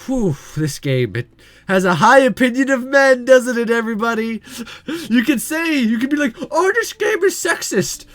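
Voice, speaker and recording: A man's voice: extremely high pitch, expressive, moderate pace, fairly bright tone, no room sound. A man hosting a visual novel playthrough, talking casually and jokingly with lots of fillers and asides. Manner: rambling, joking, many fillers. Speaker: let's play host.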